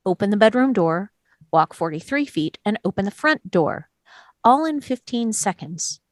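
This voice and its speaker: A woman plays a calm, persistent juror in a courtroom drama. Her mid-pitched voice is measured and explanatory, recorded close and dry. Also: no room sound, lightly acted. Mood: methodical. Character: calm, reasoning, persistent juror testing evidence. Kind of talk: play table read.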